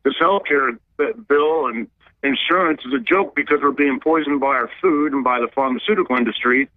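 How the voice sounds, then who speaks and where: A man's voice: medium pitch, fairly quick, dry recording. A man calling in to a radio show by telephone, talking earnestly and somewhat ramblingly. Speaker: caller. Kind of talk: call-in radio. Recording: dull, dry phone-line sound.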